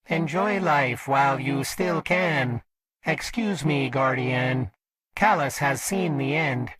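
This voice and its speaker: An actor playing a courteous robot servant of an exiled emperor in a video game, polite, formal and servile. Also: male voice, a little high, noisy background. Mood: polite, ominous. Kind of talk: scripted game character lines.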